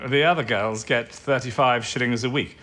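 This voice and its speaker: An actor playing a condescending, penny-pinching intelligence administrator in a wartime drama, with a patronising, old-fashioned manner. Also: male voice, medium pitch, fairly even intonation, normal speed, pushed and bright, natural voice. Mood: dismissive. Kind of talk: period audio drama acting.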